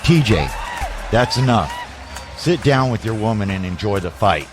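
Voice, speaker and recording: A man's voice: lowish voice, sing-song, normal speed, faint music behind, some chatter behind. An actor playing a stern older fight trainer and father figure in an audio drama, commanding and authoritative.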